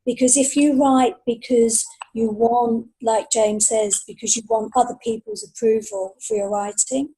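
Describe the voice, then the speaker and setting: A woman with a fairly high, husky voice and a mellow tone gives casual advice on a podcast panel. She comes across as earnest and encouraging. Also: fairly even intonation, measured pace, few pauses, rough recording. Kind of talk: casual panel talk giving writing advice.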